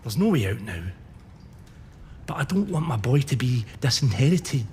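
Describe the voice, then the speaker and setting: Here a man acts out a middle-aged earl facing execution, speaking in a measured, formal and earnest way. His voice carries the long reverb of a large hall, with a faint hiss underneath. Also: mid-range voice, sing-song, a little mellow, natural voice. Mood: troubled. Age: 40s. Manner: earnest, formal courtroom pleading. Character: condemned Scottish earl, middle-aged, remorseful and pleading.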